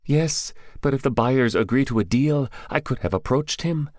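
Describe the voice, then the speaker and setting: A cowed male captive from an audiobook thriller, acted out by a male narrator, speaks in a raised, swooping voice. He pleads and babbles, yet is quick to boast. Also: mid-range voice, lively intonation, a little mellow, dry recording, clean studio sound, voice pushed up, natural voice. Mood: proud. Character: frightened male captive, boastful young drug-gang escort. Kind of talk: audiobook character dialogue.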